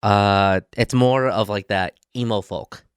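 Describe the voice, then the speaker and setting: A man in his thirties with a Midwestern accent and a low, smooth voice, co-hosting a podcast. He thinks out loud and leans on "like" as he talks. The sound is close and dry. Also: steady tone, takes it slowly, no room sound. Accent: Midwestern American. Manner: thoughtful, lots of "like" fillers. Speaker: podcast co-host. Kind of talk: casual chat. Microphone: podcasting mic.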